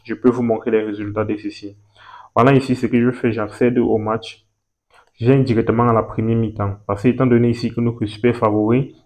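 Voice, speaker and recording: A man, talking through a screen-recorded walkthrough, repeating himself and pausing as he points things out. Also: medium pitch, darkish tone. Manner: repetitive and hesitant, points at things with "ici, là". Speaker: betting tutorial host.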